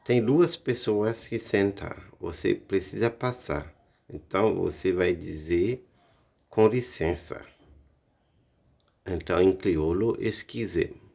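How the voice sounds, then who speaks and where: A man teaching phrases in a language lesson, slowly repeating each one for learners. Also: low voice, vocal fry, darkish tone. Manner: slow, repeats phrases carefully for practice.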